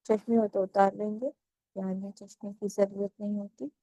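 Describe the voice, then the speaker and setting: Woman doing guided meditation, calm n slow, level mellow voice, really dry close recording. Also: medium pitch, dry recording, low-quality recording. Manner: calm, unhurried, soothing.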